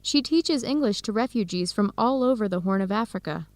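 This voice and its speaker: A woman's voice: mid-range voice, animated, a little fast, darkish tone, dry recording, close to an everyday voice. A woman with an American accent playing a friendly young researcher in an English-learning radio drama. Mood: upbeat, chatty. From United States. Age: young adult.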